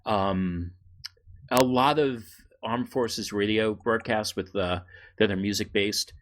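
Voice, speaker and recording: A man co-hosting a casual podcast, chatting in a halting, joking way. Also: fairly low pitch. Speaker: podcast co-host. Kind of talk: casual conversational podcast chat.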